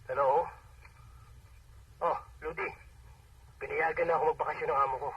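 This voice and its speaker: A soft, husky male voice plays a gentle husband phoning home in a radio drama. His words come through dull and distant, like a call heard on the radio. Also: fairly high pitch, steady tone, moderate pace. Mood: pleasantly surprised. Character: gentle husband working abroad, calling home.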